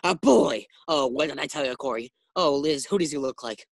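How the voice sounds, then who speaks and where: A man's voice: fairly high pitch, normal speed, gravelly, no echo, studio quality. A man playing a flustered, boastful, naive husband who stammers when rattled, in an old-time radio sitcom style.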